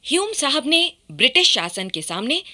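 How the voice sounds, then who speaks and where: A woman's voice: sits high, sing-song, normal speed, pushed and bright. A woman narrating a scripted historical radio drama.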